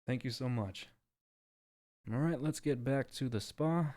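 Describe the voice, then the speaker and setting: A man hosting an ASMR roleplay stream, chatting softly to his listeners. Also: medium pitch, a little slow. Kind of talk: soft ASMR roleplay chat.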